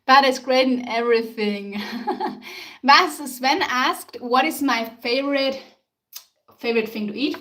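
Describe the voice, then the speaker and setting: A woman's voice: high-pitched, unhurried, long pauses, fairly bright tone. A woman from Tyrol with an Austrian German accent, chatting casually to live-stream viewers while she bakes in her home kitchen. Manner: chatty, answers viewer comments, laughs often. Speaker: live-stream baking host. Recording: echoey kitchen, mic far off. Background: clinking dishes and cutlery.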